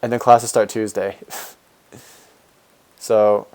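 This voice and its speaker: A young man in his twenties with a fairly low, deep voice streams a game and chats loosely with his viewers. He rambles in an easygoing way, full of fillers and restarts, as he answers their comments. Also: flat delivery, slow, darkish tone. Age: 20s. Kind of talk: casual live-stream chat, reading and answering viewer comments.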